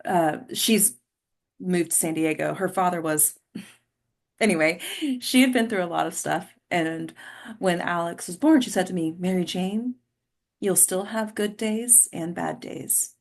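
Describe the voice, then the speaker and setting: A woman's voice: fairly low pitch, measured pace. Woman acting a weary, hesitant mother. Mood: weary, reflective. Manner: hesitant, understated, trailing off. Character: Mary Jane, tired, polite, guarded mother under strain. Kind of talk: naturalistic stage play table read.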